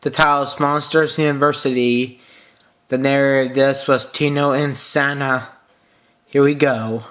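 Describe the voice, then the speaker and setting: Flat-toned man announcing an episode. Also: medium pitch, moderate pace. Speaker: host introducing an episode. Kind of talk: plain scripted announcement.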